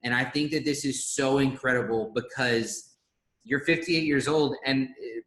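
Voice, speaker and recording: A man's voice: mid-range voice, measured pace, a bit bright. A young man in his twenties co-hosts an online interview, talking with lots of energy and hyping up his guest. His voice rings with noticeable room echo. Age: 20s. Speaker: co-host interviewer. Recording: roomy echo.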